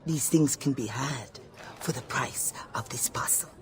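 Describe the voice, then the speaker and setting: An actress playing a shrewd, imperious madam who deals in secrets, speaking deliberately in a video game cutscene. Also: female voice, extremely low pitch. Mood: probing, persuasive. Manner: deliberate, commanding, probing.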